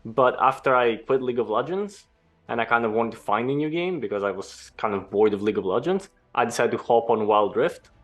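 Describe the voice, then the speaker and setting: A young man from Greece, a former pro gamer, answering interview questions in a relaxed, explanatory way. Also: mid-range voice, a little fast, soft background music. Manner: relaxed, explanatory, some restarts.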